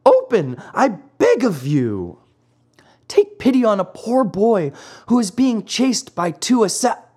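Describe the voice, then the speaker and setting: A man's voice: high-pitched, wildly swooping pitch, takes it slowly, warm tone, husky, pitched above the performer's own voice, full character voice. A man voicing a desperate wooden puppet boy in a storybook reading, pleading in an urgent, beseeching way. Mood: frantic pleading.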